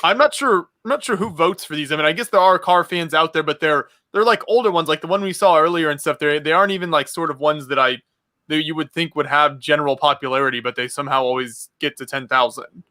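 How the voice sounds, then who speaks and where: A man's voice: fairly high pitch, fast, bright, forceful tone, dry recording, studio quality. A man co-hosting a casual chat, rambling and thinking aloud as he talks. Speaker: co-host.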